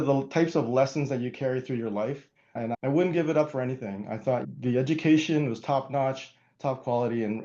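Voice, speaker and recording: Mellow-voiced middle-aged man, earnest. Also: mid-range voice, lo-fi sound. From Pennsylvania, USA. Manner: earnest, measured, with small restarts. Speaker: guest, hospital security chief. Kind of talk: reflective interview answers.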